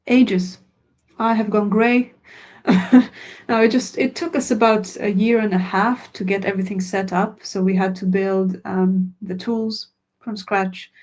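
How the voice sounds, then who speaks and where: Woman, native Croatian speaker, teacher, webinar answers, earnest, mid-pitched. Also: flat delivery, unhurried, a little mellow. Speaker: language teacher and product founder.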